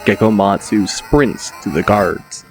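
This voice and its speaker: A man narrating a scripted audiobook dramatically, in a deliberate, descriptive way. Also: fairly low pitch. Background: music.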